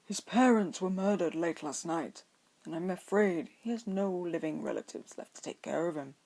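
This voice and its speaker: A woman acts out an elderly gentleman wizard from a fantasy story. He is genial and chatty, with courteous phrasing and a kindly insistence that keeps gently pressing his point. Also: fairly low pitch, normal speed, rough recording. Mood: grim. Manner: genial, polite, wordy. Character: cheerful, kindly old male wizard headmaster, gently pushy.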